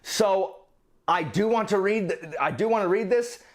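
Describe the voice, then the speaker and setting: A man's voice: sits high, moderate pace. A man talking casually and enthusiastically to viewers as a book vlogger, repeating words for emphasis. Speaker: book reviewer presenting a monthly reading list on his channel. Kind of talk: casual, chatty vlog talk to viewers.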